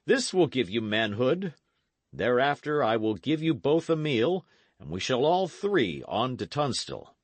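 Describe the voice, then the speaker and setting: A man voicing a gruff, wrathful old knight in an audiobook, speaking in archaic, commanding tones. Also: mid-range voice, sing-song, measured pace, dry recording, voice pushed up. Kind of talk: audiobook character reading.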